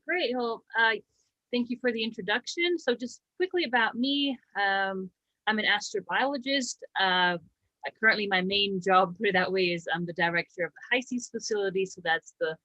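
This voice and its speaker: A woman, presenting slides about her research in a brisk, explanatory way with a light joke here and there. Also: medium pitch, sharp tone, no room sound, dull audio. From Slovakia. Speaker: astrobiologist and habitat director.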